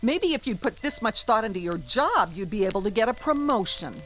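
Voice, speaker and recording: An actress playing a vain, nagging middle-aged wife, sarcastic and belittling, in a radio drama. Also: female voice, a little high, animated, fairly quick, fairly bright tone, rough recording. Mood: scornful, nagging.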